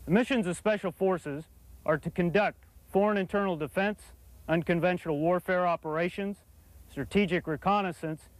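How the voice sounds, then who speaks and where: A man's voice: high-pitched, no echo, poor audio. A man giving a formal, scripted military briefing in a rehearsed, declarative manner. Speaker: military presenter introducing his unit.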